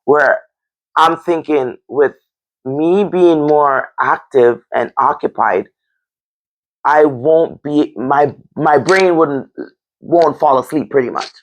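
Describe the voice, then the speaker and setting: A woman's voice: low-pitched, slow pace, low-quality recording. A woman with a Jamaican accent in a candid, casual discussion, blunt and emphatic, repeating phrases for stress. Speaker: discussion show host.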